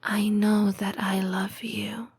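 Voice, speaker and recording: A woman voicing a tender, patient lamia girlfriend in an intimate comfort roleplay, breathy and whispering, with measured, formal phrasing. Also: mid-range voice, level tone, a little mellow, exaggerated voice. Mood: tender, reflective. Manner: slow, measured, formal phrasing with deliberate pauses. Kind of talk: intimate comfort roleplay audio, scripted monologue.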